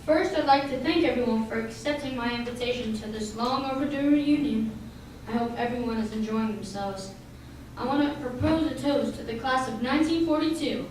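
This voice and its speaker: A woman's voice: a little high, a little fast, darkish tone, lightly acted. A woman playing a formal, gracious man with a barbed tongue, in a theatrical radio drama style. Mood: grand announcing. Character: Peter Edwards, successful former schoolboy, gracious reunion host with barbed tongue. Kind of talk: stage radio drama acting. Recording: very echoey hall, distant mic, clear noise.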